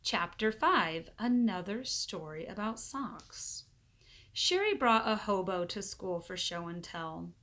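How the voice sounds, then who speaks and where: A woman reads a children's story aloud in the manner of a teacher. Her voice is bright and forward, at a middling pitch, with animated storytelling delivery. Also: slow pace.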